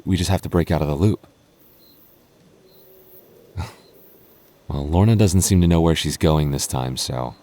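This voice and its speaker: A man's voice: low voice, dark tone. A man playing an anxious, protective goth boyfriend in an audio roleplay, stammering and wry as he talks closely to his partner. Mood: resigned, reassuring. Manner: stammering, halting, nervous, wry.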